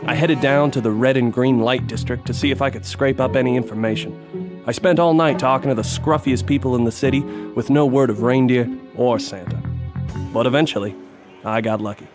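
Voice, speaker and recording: A man's voice: mid-range voice, a little fast, close to an everyday voice. Man as a brooding hard-boiled detective, music behind. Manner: dramatic, earnest. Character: brooding hard-boiled male detective narrator, melodramatic, dogged. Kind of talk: noir parody radio drama narration.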